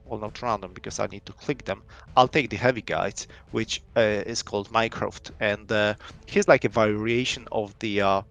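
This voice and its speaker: A man's voice: on the low side, fairly even intonation, normal speed, creaky, fairly bright tone, faint music behind. A young man from Poland with a Polish accent, English not his first language, showing his indie game on a live stream and talking in a loose, rambling way with many restarts. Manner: rambling, lots of fillers and restarts.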